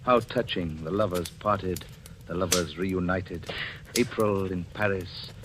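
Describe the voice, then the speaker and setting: A man's voice: sits low, steady tone, measured pace, background noise. A man playing a cruel, controlling husband with a suave, sardonic, commanding manner in 1940s radio suspense style. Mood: sardonic, mocking. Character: cruel, menacing, controlling husband, coldly mocking his wife. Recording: old radio broadcast with music behind.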